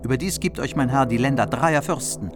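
An actor playing a noble margrave who speaks as a formal, earnest envoy in a radio play. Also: male voice, medium pitch, brisk, natural voice. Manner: solemn, formal courtly speech. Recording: music under the voice.

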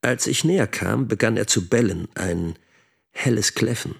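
An actor playing a brooding middle-aged husband in an intimate radio drama, speaking in terse, reflective fragments with a husky voice. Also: male voice, on the low side, moderate pace. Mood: brooding, subdued.

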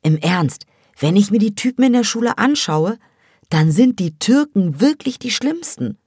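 A woman's voice: low voice, expressive, slow, darkish tone, dry recording, clean studio sound, full character voice. Woman voicing a scornful teenage girl, mocking. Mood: mocking gossip. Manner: mocking, gossipy, scolding. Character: scornful teenage girl, sharp-tongued smoker.

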